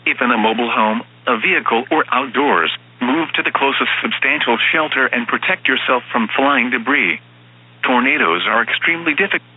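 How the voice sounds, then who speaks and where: A man's computerized voice reading emergency weather warnings in a flat, even delivery. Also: mid-range voice, a little fast, slightly rough. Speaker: automated weather radio announcer. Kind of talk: synthetic emergency broadcast reading. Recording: tinny radio band with faint hum.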